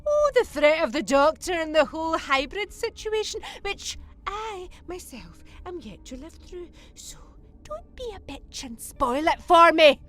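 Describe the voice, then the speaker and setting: Female actor as insane alien villainess for audio drama: high, wildly swooping delivery, arch, flippant, theatrical. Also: sing-song, unhurried, fairly bright tone, full character voice. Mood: gloating.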